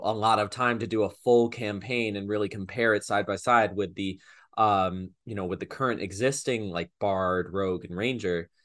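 A man's voice: lowish voice, a bit bright. A man hosting a tabletop gaming podcast, chatting casually and circling his points with many fillers and restarts. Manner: rambling, hesitant, many fillers. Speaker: podcast host and game master. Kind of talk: casual chat.

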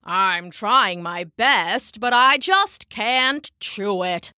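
A woman voicing a grumpy but soft-hearted old man with bad teeth in a children's story, grumbling and drawing out the words. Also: medium pitch, animated, no echo, clean studio sound, exaggerated voice. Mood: grumbling, defensive. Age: older. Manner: grumbling, slow, drawn-out delivery. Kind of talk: acted children's storybook character.

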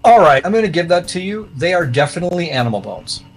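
A man's voice: sits high, very animated, quick pace. A man narrating a tabletop game as game master, improvising in a matter-of-fact, explanatory way. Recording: music playing under the voice. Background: plucked guitar music.

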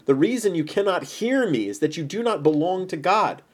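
A man voicing Jesus as a bold, authoritative teacher in his thirties, speaking with measured, solemn emphasis in a dramatized Bible story. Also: sits high, animated, pitched above the performer's own voice. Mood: bold, impassioned.

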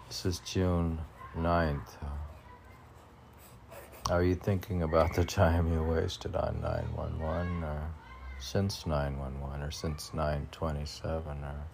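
An older man rambling through a solo podcast and poem readings, halting and musing, often questioning himself aloud. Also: low voice, creaky, darkish tone, slight background noise. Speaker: poet reading own poems on a podcast.

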